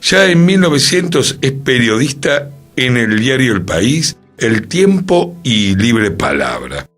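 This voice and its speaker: An Argentine man presents a radio column in a conversational way. His pitch moves in a lively range while he takes his time over the words. Soft music plays faintly underneath him. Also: slightly rough. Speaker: radio show co-host and columnist. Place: radio studio.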